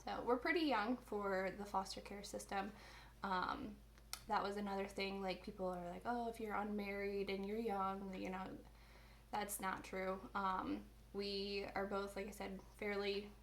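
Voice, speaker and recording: A young woman in her twenties talks in an easy, unscripted way at a middle pitch. She chats on her vlog and fills her pauses with ums. A little room noise hums faintly behind her. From Indiana, USA. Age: 20s. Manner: chatty, many ums and restarts. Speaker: vlogger. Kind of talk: casual chat.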